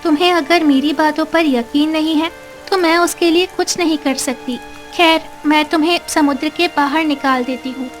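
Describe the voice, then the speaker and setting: High, earnest young mermaid voiced by a woman. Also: steady tone, moderate pace, faint music behind, close to an everyday voice. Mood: firm, resigned. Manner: earnest, pleading, sweet-voiced. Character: gentle young female mermaid, earnest and wronged. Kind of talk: dramatised Hindi cartoon story dialogue.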